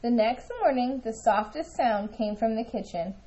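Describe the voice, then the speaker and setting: A woman narrating a children's picture book aloud in an expressive storytelling style. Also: on the high side, moderate pace, highs cut off. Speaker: storybook reader.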